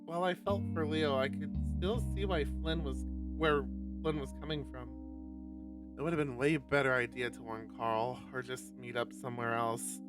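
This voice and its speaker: A man streaming a visual novel, reading it aloud and commenting casually and expressively, thinking out loud and swearing. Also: medium pitch, moderate pace. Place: live game stream. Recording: distant mic, music over voice.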